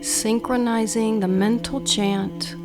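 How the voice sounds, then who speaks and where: A woman guiding a meditation with measured instructions, in short phrases that often end hushed. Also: a little high, steady tone, over music. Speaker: meditation guide. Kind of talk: slow guided meditation, instructional.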